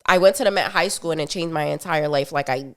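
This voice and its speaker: Lowish-voiced Rhode Island woman. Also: heavy background noise. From Providence, Rhode Island. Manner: animated storytelling, quick bursts when excited. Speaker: podcast guest. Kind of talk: casual interview chat.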